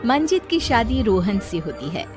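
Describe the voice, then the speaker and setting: A woman's voice: fairly high pitch, very animated, measured pace, faint music behind. A woman reading scripted narration for a cartoon story.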